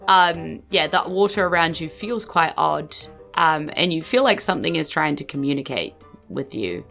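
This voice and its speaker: A woman's voice: deep voice, expressive, normal speed, creaky, a bit bright, faint music behind. A woman improvising narration as a game master, casual and a little hesitant as she thinks aloud. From New Zealand.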